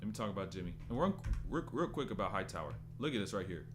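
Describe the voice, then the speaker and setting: Low-voiced young man streaming chat. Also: very animated, fast, over music. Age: 20s. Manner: loose and rambling, breaks off to read chat. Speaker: basketball breakdown streamer. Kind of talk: casual live-stream commentary.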